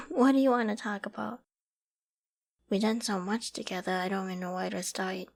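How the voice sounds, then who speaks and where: A woman acting a clingy, secretly obsessive young apocalypse survivor in a dramatic ASMR audio roleplay, with an emotional, halting, stammering delivery. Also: medium pitch, fairly even intonation, creaky. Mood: nostalgic, lighter. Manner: emotional, halting and stammering, often dropping to whispers and sighs.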